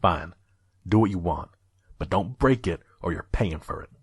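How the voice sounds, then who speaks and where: A man's voice: sits low, lively intonation, moderate pace, husky, dry recording, heavily acted. A man playing a shrewd, wary pony peddler in an audio drama, with a dry, guarded way of talking. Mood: stern, guarded. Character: gruff, shrewd male pony scavenger-peddler, wary and mercenary. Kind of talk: audio drama dialogue and narration.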